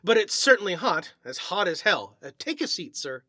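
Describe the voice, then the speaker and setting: A man performs a big working-class stonemason from a storybook, proud of his trade and plain-spoken. The voice is bright and forceful, pitched fairly high for a man. He chats bluntly and colloquially, recorded close and dry. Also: big pitch swings, normal speed, no room sound, full character voice. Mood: gruff complaint. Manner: blunt, colloquial, chatty, mild cursing.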